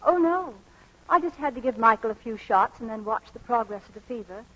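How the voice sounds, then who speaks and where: An actress playing an unruffled, gracious doctor wife in an old radio comedy, polite and gently dry. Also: female voice, on the high side, lively intonation, brisk, slightly rough. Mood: gracious, breezy. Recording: muffled old radio broadcast recording.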